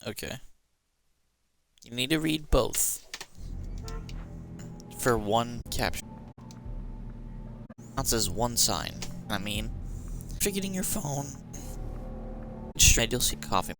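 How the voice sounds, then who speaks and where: A woman's voice: extremely low pitch, lively intonation, slow, vocal fry, long pauses, over music. A woman doing casual live game commentary, muttering to herself as she plays. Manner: muttering to self while playing. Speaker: game streamer.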